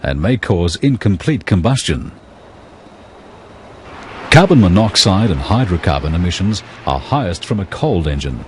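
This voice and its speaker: A man reading scripted technical narration for an educational film in a measured, explanatory, impersonal manner. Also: sits low, sing-song, background noise.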